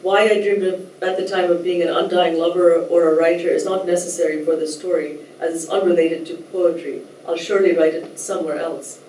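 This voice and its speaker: A woman from Nepal giving a thoughtful, measured talk as a writer, at times reading a translated essay aloud, with soft hesitations. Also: lowish voice, steady tone, a little hiss or hum. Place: lecture room. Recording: roomy echo, distant mic, faint ambience.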